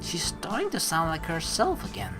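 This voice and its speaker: A woman's voice: fairly low pitch, big pitch swings, normal speed, over music, poor audio. A woman hosting a let's play in a chatty, rambling, earnest way, mixing casual commentary with reading game text aloud.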